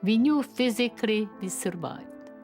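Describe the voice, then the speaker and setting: Slow-speaking elderly woman with Slovak accent. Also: a little high, expressive, a little mellow, soft background music. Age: 90s. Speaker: Holocaust survivor and memoirist. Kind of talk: personal testimony, partly read.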